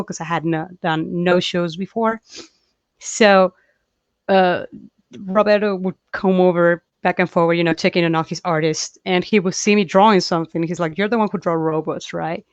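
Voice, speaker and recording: A young woman from Chile, a comic artist chatting as an interview guest, talking freely with halting restarts and easy laughs. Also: lowish voice, fairly even intonation, no echo. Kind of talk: casual interview chat. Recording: dull, dry lo-fi call audio.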